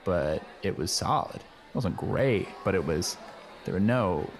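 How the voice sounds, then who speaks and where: A man playing an earnest, know-it-all high school boy in an improvised comedy radio play, rambling casually with lots of slang. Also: animated, unhurried, creaky, some chatter behind, exaggerated voice. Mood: mildly exasperated. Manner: rambling, hesitant, peppered with "like" and slang.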